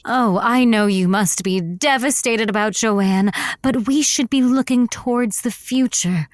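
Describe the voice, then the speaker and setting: An actress playing a flattering, pampered pregnant rich girlfriend in an audio comedy, sweetly manipulative and affected. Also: fairly high pitch, unhurried, no echo, studio quality, close to an everyday voice. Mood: sweetly pushy. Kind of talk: audio comedy drama acting.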